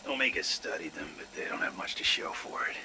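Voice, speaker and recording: An actor playing a sleepless, paranoid American scientist, rambling nervously and haltingly through a dramatic game audio log. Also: male voice, low voice, expressive, background noise. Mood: anxious, secretive.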